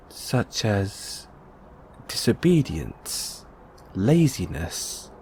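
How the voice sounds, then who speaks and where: A man's voice: fairly low pitch, a little mellow, slight background noise. A man playing a curious, reassuring investigative journalist in an intimate audio roleplay, musing and coaxing with hesitant phrasing. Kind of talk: intimate one-sided audio roleplay acting.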